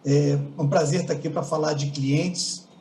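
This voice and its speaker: Relaxed Carioca man, slightly high voice. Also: moderate pace. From Rio de Janeiro, Brazil. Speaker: panelist, customer and digital director. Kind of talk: online panel talk. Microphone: video call.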